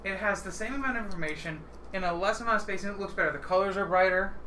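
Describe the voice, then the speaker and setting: A man's voice: high-pitched, rapid-fire, bright, forceful tone. A man hosting a casual board game livestream in an informal, enthusiastic way. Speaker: livestream host. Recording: distant mic, clearly noisy livestream audio.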